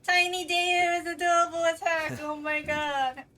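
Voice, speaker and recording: A woman's voice: extremely high pitch, fairly even intonation, normal speed, pushed and bright. A woman chatting casually on a game livestream, reacting with cutesy little interjections. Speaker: VTuber game streamer. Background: game music.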